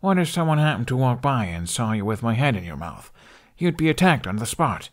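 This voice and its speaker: A man's voice: mid-range voice, big pitch swings, brisk, natural voice. A man voicing a wry, playful, scrawny teenage boy with sarcastic animation in an audiobook. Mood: casual, grumbling. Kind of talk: audiobook character acting.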